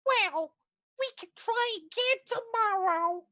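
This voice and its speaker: Children's show puppet fish: naive, adorable, childlike, piercingly high, unhurried. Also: female voice, bright, forceful tone, heavily acted. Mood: cute, hopeful. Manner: innocent, questioning, childlike. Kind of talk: children's puppet show acting, play reading.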